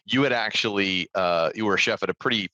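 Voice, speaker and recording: A man's voice: lowish voice, fast, no echo, dull audio. A man hosting the show and interviewing his co-host, joking around and mocking himself in a playful way. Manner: jokey, animated, self-mocking.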